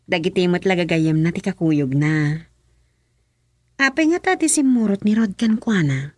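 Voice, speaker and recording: A woman's voice: fairly low pitch, lively intonation, moderate pace, a little mellow, dry recording. An actress playing an inquisitive wife chatting with her husband in a radio drama. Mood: curious. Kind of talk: Ilocano radio drama acting.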